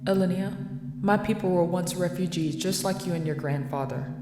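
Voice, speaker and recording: A woman playing a composed, compassionate starship first officer in an audio drama, gentle and measured. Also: lowish voice, slight background noise, lightly acted. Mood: sympathetic, measured. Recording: echoey hall reverb.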